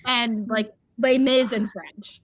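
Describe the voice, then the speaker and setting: A woman's voice: a little high, takes it slowly. A woman chatting casually and telling personal stories, animatedly acting out bits of dialogue. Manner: animated, acts out quoted dialogue. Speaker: livestream host. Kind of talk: casual chat, personal anecdotes.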